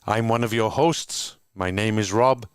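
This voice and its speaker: A man from Wales with a Welsh accent, co-hosting an online discussion and reading prepared remarks in a measured, earnest way over a video call. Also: on the low side, clean tone, no room sound. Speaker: co-host. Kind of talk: scripted welcome and reading.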